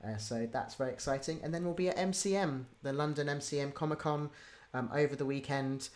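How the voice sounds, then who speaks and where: Chatty, informal livestream host, medium pitch. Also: a little fast, a little mellow. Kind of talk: casual livestream chat.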